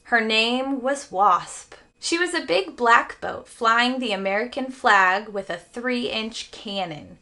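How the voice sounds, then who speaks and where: A woman teacher reading a children's story aloud in an animated way, stopping to explain things to children. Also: fairly high pitch, slow, hardly any pauses.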